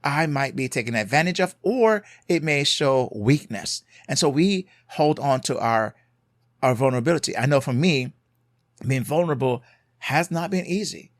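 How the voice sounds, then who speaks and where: Jamaican male podcast host, mid-pitched, earnest and emphatic, close dry sound. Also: animated, moderate pace, dry recording. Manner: earnest and emphatic, repeats key points, tags lines with "right?". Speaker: podcast host giving marriage advice. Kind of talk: solo podcast advice talk.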